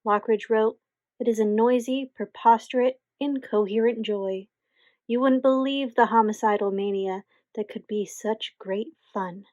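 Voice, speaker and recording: A woman's voice: fairly high pitch, a little slow, a little mellow, dry recording, lo-fi sound. A young woman reading scripted narration to introduce a radio play. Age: young adult.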